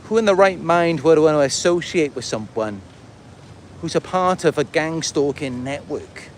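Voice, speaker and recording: A man from Wales with a Welsh accent, talking casually and a little haltingly in a rambling livestream monologue. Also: fairly high pitch, expressive, a little hiss or hum. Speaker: livestream host and content creator. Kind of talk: casual livestream monologue, answering viewer comments.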